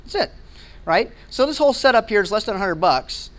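A man's voice: sits high, animated, brisk, fairly bright tone. American man giving an informal tech talk. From Hawaii and Alabama, United States. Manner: conversational, explanatory, checks in with listeners. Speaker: conference speaker, software product manager. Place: indoor meeting room, presenting to a group.

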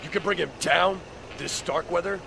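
An actor playing a grim, hard-bitten male fugitive in a video game, gravelly, curt and commanding. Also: sits high, normal speed. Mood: gruff, demanding. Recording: very noisy game cutscene audio.

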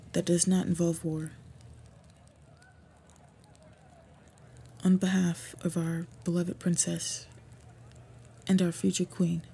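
Breathy, mellow half-orc woman, acted by a woman. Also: on the low side, heavily acted. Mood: solemn, nervous. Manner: formal, earnest, measured. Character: earnest half-orc woman, devoted lover, respectful suitor to royalty. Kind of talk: scripted fantasy roleplay audio.

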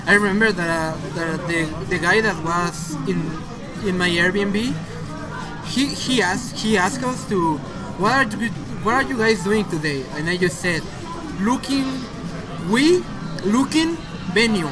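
A man's voice: high voice, animated, measured pace, crowd noise, poor audio. A man from Mexico with a Mexican Spanish accent, casually commentating a fighting-game tournament in English as a second language, chatty and laughing. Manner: chatty, laughing, playful asides. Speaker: esports commentator. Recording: music playing loudly behind. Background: loud game music.

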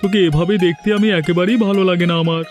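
A man's voice: sits high, fairly even intonation, fast, a little mellow, soft background music, natural voice. A man playing a supportive, practical young friend in a moral story cartoon, talking frankly and encouragingly. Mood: concerned. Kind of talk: dramatic cartoon dialogue.

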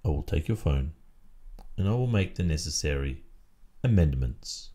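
An Australian man performing an ASMR roleplay as a stern, controlling boyfriend, speaking in a deliberate, measured way. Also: a bass voice, lively intonation, dark tone. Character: stern, controlling, possessive boyfriend lecturing his partner.

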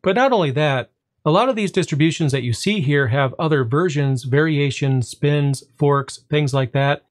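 Man walking through a server dashboard. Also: on the high side. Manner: relaxed explanatory, drawn-out "well" before punchlines. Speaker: tech tutorial host. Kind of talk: screen-recorded software walkthrough.

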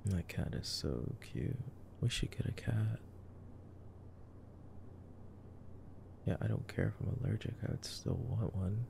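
Man playing a low, gravelly nerdy boyfriend. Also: lively intonation, normal speed, noisy background. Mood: playful, sheepish. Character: nerdy, affectionate boyfriend commenting on a movie. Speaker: ASMR roleplay performer. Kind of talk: ASMR sleep-aid roleplay, casual movie commentary.

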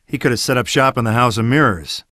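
A cocky monster-fighting hero from a video game cutscene, acted by a man. He speaks in a medium register with sardonic, deadpan swagger. The sound is tight and free of room echo. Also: mid-range voice, quick pace, clean studio sound, lightly acted.

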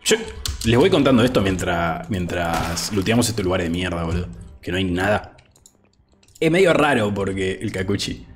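Laid-back Argentine young man, lowish voice. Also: animated, normal speed, faint music behind. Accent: Argentine Spanish. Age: 20s. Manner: laid-back, chatty, says "boludo" often, reads chat aloud. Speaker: streamer. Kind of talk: casual gaming livestream chat. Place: home room, indoors. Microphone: over-ear headset.

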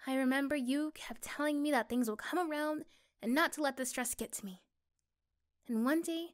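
A woman playing an affectionate, reassuring young girlfriend in a soft, gentle ASMR roleplay. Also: sits high, close to an everyday voice. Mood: musing, confiding. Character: affectionate, reassuring young girlfriend chatting intimately to her partner.

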